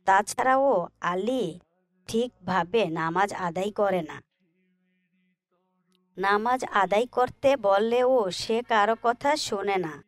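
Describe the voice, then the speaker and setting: Slow-speaking, caring cartoon mother played by a woman. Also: medium pitch, no room sound, natural voice. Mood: concerned. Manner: affectionate, instructive. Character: caring, pious mother, patient but concerned. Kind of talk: Islamic moral cartoon dialogue.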